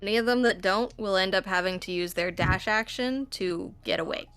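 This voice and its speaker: Bright, crisp-voiced woman playing a tabletop game. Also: medium pitch, moderate pace, no room sound. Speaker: player in a tabletop roleplaying game. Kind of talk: explaining game moves and rules. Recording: music under the voice.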